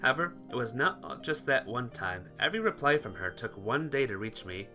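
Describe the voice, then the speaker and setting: A man with a mid-pitched voice reads visual novel narration aloud for a playthrough. He stumbles now and then over the words, with music clearly playing behind him. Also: lively intonation, moderate pace. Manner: occasional stumbles while reading.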